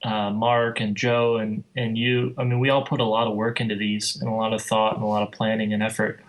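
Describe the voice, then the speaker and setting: A man's voice: lowish voice, little pitch movement, a little fast, poor audio. A man speaking as a podcast guest, explaining things in a conversational way. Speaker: guest, air traffic controller and flight sim enthusiast. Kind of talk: podcast interview, explanatory talk.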